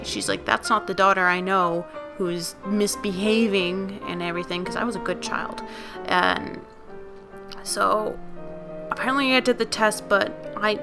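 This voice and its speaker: Tired raspy-voiced woman, rambling. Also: medium pitch. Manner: rambling, many fillers and self-corrections. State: tired, voice raspy and lower than usual. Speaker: video creator. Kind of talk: unscripted casual vlog talk over speed-drawing. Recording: music playing underneath.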